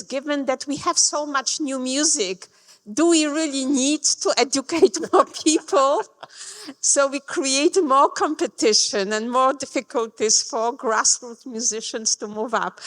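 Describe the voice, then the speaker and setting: A woman's voice: sits high, fairly bright tone. A woman chairing an event, introducing the next speaker in a hesitant, playful way. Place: conference room. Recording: reverberant hall.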